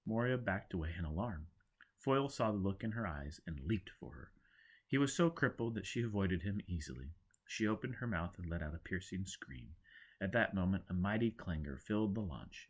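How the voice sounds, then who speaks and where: A man's voice: lowish voice, slightly rough. A man narrating a scripted story dramatically as an audiobook reader.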